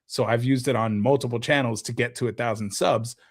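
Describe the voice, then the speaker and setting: Guest creator, mid-pitch man, lively explainer with game talk. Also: a little fast. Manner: animated, explains with gaming comparisons. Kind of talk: casual podcast chat.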